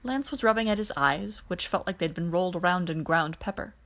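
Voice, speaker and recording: A woman's voice: fairly low pitch, brisk, a bit bright. Woman reading a story aloud. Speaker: fan fiction audiobook reader. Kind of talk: scripted story narration with character voices.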